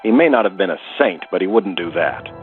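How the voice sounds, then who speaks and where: Man playing deep-voiced curt rich brother, phone-like sound. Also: lively intonation, a little fast, faint music behind. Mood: hostile, accusing. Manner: curt, dismissive. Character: stern, guarded wealthy older brother, easily riled. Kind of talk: audio soap opera acting.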